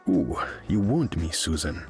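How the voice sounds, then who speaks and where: A proud undersea monarch in a video game. He is low-voiced, courtly and flirtatious, with grand swooping intonation and easily wounded pride, over clear background music. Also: sing-song, unhurried, a little mellow, exaggerated voice. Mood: smug, wooing. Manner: pompous, courtly, theatrical. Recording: music behind.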